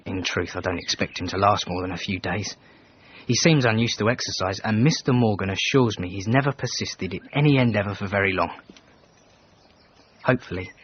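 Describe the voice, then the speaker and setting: An actor playing a reserved Edwardian manservant in a radio drama, reading out his letters in a formal, measured, dryly disapproving way. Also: fairly low pitch, lightly acted. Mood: dry, skeptical. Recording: dull band-limited radio, faint noise.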